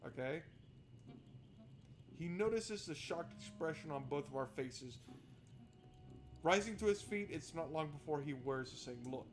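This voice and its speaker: A man's voice: fairly high pitch, animated, measured pace, long pauses. A man doing let's play commentary, reading narration aloud and adding sarcastic, exasperated asides. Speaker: video game streamer. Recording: noticeable background noise.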